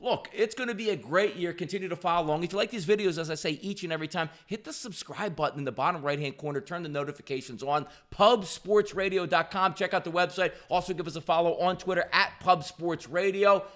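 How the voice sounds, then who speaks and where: A man's voice: sits high, fast, few pauses, a bit bright. A man hosting a sports betting picks show, delivering commentary in an upbeat, emphatic, salesman-like way.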